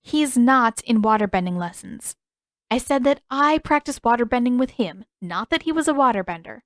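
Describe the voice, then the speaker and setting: A woman's voice: on the high side, lively intonation, normal speed, no echo, clean studio sound, voice pushed up, lightly acted. A woman reader playing a spirited, stubborn and defensive teenage girl in a story, emphatic and wry. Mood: firm, exasperated.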